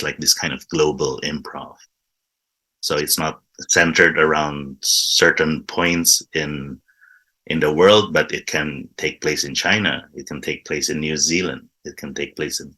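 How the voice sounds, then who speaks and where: This is a man with a very low, deep voice that still sounds bright and forceful. He explains eagerly in a casual interview, often restarting his words as he goes. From Indonesia. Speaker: improv teacher, guest.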